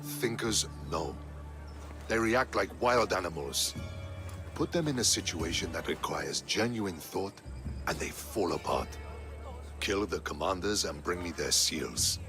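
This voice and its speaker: A man's voice: low voice, lively intonation, a little slow, creaky, heavily acted. A man playing a stern, commanding general with a disdainful manner in a video game cutscene. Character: stern, scornful male Athenian general. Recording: music under the voice.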